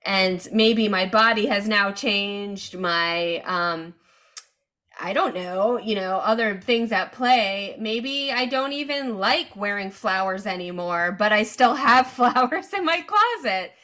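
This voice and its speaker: Bright, slow-talking woman podcast host. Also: mid-range voice. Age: 40s. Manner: chatty, thinks aloud with fillers and laughs. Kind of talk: casual podcast chat.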